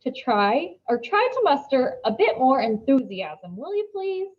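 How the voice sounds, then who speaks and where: A woman voicing a patient, firm female schoolteacher in a storybook, measured and encouraging. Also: high-pitched, very animated, dull audio, voice pushed up, exaggerated voice.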